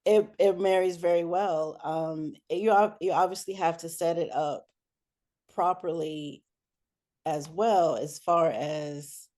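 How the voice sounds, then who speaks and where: A woman giving conversational interview answers as a guest, often pausing mid-sentence. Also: on the low side, fairly even intonation, warm tone. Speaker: interview guest, air traffic control supervisor and businesswoman.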